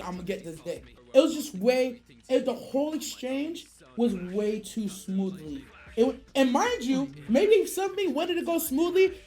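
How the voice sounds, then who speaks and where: High-pitched, animated American woman streamer. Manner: animated, profane, slangy, acts out quoted dialogue. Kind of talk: casual storytelling on a livestream. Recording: music behind the voice. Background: music.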